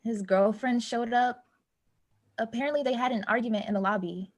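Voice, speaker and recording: An actress playing an anxious, plainspoken young building employee in a naturalistic play reading. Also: female voice, on the high side, normal speed, natural voice. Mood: worried, resigned. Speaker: actor in a staged play reading. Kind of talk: naturalistic stage dialogue reading.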